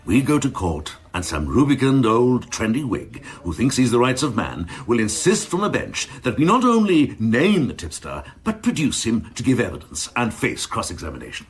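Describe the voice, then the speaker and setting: An actor playing a cynical, domineering assistant chief constable, mocking and ornate in a crime radio drama. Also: male voice, mid-range voice, wildly swooping pitch, moderate pace, hardly any pauses, slightly rough, exaggerated voice. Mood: scornful. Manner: mocking, ornate, theatrical phrasing.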